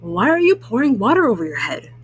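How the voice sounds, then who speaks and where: A woman's voice: on the high side, sing-song, fairly quick, a little hiss or hum. A woman voicing a friendly, curious male frog from a storybook, speaking simply and earnestly.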